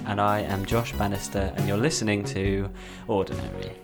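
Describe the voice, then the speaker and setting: Casual podcast host, man, lowish voice, easygoing and friendly, music behind. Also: sing-song, normal speed, creaky.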